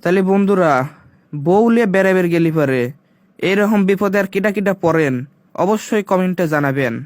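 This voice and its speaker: A man's voice: sits high, fairly quick, dry recording, close to an everyday voice. A man with a Pabna accent from Bangladesh voicing a grumbling, money-anxious henpecked husband in a comic cartoon dub. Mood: loud, calling out. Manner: muttering complaints. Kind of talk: comic cartoon dubbing in dialect.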